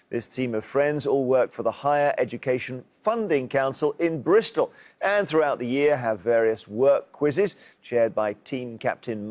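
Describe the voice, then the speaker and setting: A man presenting a television quiz show in a studio, brisk and teasing as he reads the questions. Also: mid-range voice, a little mellow. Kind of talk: scripted game show presenting.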